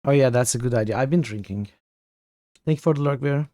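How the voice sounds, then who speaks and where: A hoarse man in his home streaming setup talks casually to his viewers, rambling and halting as he thinks aloud. His voice sits at an ordinary pitch, and the room is dry, with close sound and no echo. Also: warm tone, faint music behind. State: hoarse from illness, losing his voice. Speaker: game streamer. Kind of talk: casual livestream chat.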